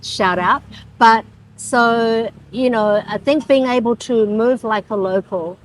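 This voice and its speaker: A woman's voice: a little high, slow pace, a little hiss or hum, poor audio. A woman fitness coach in a small studio answering interview questions, eager and agreeable, restarting phrases and saying yeah often. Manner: warm, eager, agreeable, with many restarts and frequent yeahs. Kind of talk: casual interview.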